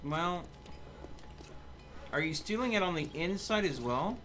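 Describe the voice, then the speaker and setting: A man's voice: sits high, animated, unhurried, fairly bright tone, faint music behind. A man hosting a livestream, chatting casually and giving instructions to his teammates as he plays a game. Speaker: livestream host and player. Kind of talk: casual gaming stream chat, giving team instructions.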